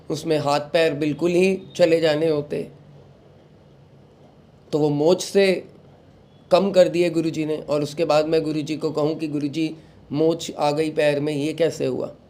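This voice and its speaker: Young guy, devotional talk, somewhat high but mellow voice, throat still a bit sore. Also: a little slow, pauses often. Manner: mixes in English phrases, calm advising tone. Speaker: satsang host. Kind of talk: devotional talk, addressing the followers.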